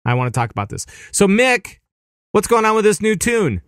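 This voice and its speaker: A man's voice: sits high, big pitch swings, normal speed, no room sound, clean studio sound. A man hosting a podcast, chatting casually in a rambling, joking way. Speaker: podcast host.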